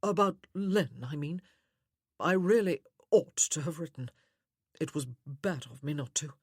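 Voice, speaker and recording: A woman reader plays a courteous, guilt-laden gentleman lord in a period romance audiobook. The voice is low and husky, with formal, restrained phrasing. The recording is dry and close. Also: expressive, measured pace, no room sound, exaggerated voice. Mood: awkward apology.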